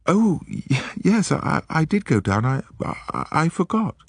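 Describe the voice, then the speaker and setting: A man's voice: a little high, sing-song, measured pace, vocal fry, husky, dry recording, clean studio sound, voice pushed up, heavily acted. A man voicing a nervous aristocratic lady who stammers and sounds breathless.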